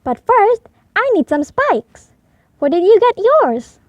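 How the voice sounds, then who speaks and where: A woman voicing a stubborn, curious, polite young boy hippo for a storybook, in an emphatic, drawn-out way. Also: extremely high pitch, sing-song, no room sound, highs cut off, pitched above the performer's own voice, heavily acted. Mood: eager, curious.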